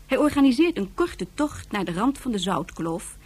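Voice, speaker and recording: A woman playing the narrator of a radio drama serial, recapping events in a scripted, measured storytelling manner. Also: fairly high pitch, sing-song, darkish tone, breathy edge. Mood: narrating.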